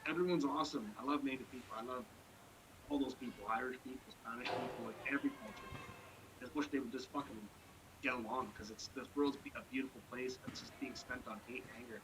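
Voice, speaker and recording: A man rattles off blunt, profane, rambling opinions at a quick clip. He speaks at an ordinary pitch for a man. Clear background noise runs under his voice. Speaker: podcast co-host. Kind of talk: casual chat.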